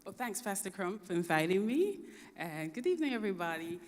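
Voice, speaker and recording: A middle-aged woman from Jamaica with a Jamaican accent, a doctor answering interview questions in a warm, lively storytelling way. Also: medium pitch, fairly quick, in a large hall. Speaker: guest physician.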